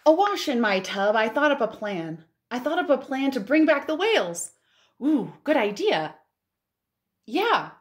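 A woman in her thirties, a children's librarian, reads a picture book aloud and chats to young listeners with expressive wonder and drawn-out emphasis. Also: high-pitched. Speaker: children's librarian hosting storytime. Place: living room at home, indoors.

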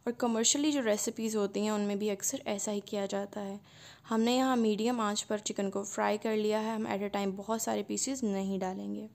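A woman, hosting a cooking channel and calmly narrating a recipe step by step. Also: mid-range voice, normal speed, creaky. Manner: calm step-by-step instruction.

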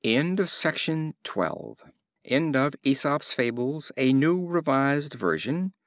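Animated male storybook narrator. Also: mid-range voice, slow, no room sound, clean studio sound. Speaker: audiobook narrator. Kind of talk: expressive storybook narration of fables.

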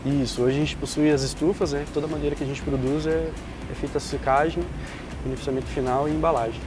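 A man's voice: on the high side, steady tone, fast, music in the background. A man with a Brazilian Portuguese accent, the company's process director, explains the sawmill in a plain, matter-of-fact way, often restarting and repeating words. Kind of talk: casual explanatory interview. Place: outdoors at a sawmill.

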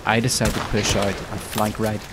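A man's voice: fairly low pitch, moderate pace. A man talking through gameplay as a video creator, in narration and live team chat. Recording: steady loud background noise. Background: pouring rain and game ambience.

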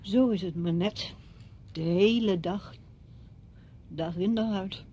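Muffled radio play, embittered widow played by an actress, mellow dark timbre, curt sarcastic delivery. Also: female voice, mid-range voice, slow pace, slight background noise, natural voice. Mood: weary, curt. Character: bitter, wounded widow, sardonic with her friend. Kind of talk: Dutch radio play acting. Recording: dull, muffled radio recording.

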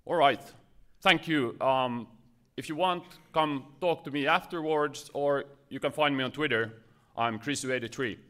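A man's voice: mid-range voice, moderate pace, fairly bright tone. Nervous man giving a tech talk. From Finland. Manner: nervous, many fillers and restarts. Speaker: conference speaker. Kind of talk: short technical conference talk. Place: conference stage.

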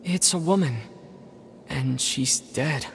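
A man's voice: on the high side, takes it slowly, gravelly, slight background noise. A young-sounding man playing an earnest, kind teenage boy adventurer in a video game, speaking sincerely and plainly. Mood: troubled. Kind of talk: naturalistic game voice acting.

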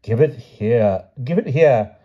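A dithering, old-fashioned king from a storybook, eager and blustering with upper-class tics. The voice is pitched high and a little husky, on dull lo-fi audio. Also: expressive, takes it slowly. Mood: flustered.

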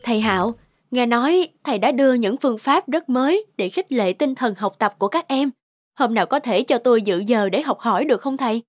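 A woman voicing a kind, patient female teacher in an animated story, speaking politely and earnestly. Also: on the high side, brisk, dry recording, studio quality. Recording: music bed behind voice.

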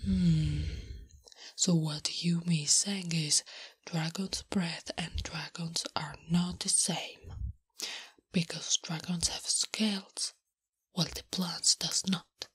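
A woman voicing a big, blunt, smitten and naive female orc in whispered, halting broken English for an ASMR roleplay. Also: lowish voice, fairly even intonation. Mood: puzzled, curious. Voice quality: breathy, whispery. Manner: halting broken English, speaks of herself in third person. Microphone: close-up ASMR microphone. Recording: close, intimate microphone.

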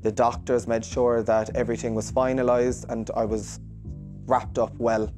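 An earnest young man tells his story in a reassuring, sincere way, pausing now and then on a filler. His voice sits at an ordinary middle pitch, picked up close on a lapel mic. Soft music plays faintly underneath. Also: level tone. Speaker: hairdresser giving a clinic testimonial. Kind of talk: interview testimonial. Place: hair salon, indoors.

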